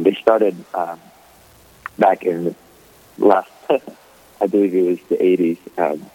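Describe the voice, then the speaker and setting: A young man from the Philippines calls in over a narrow, tinny phone line. His voice is very deep and a little husky, and he talks in a relaxed way, full of ums and small laughs. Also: dry recording. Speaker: guest, diocesan youth associate. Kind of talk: phone-in interview.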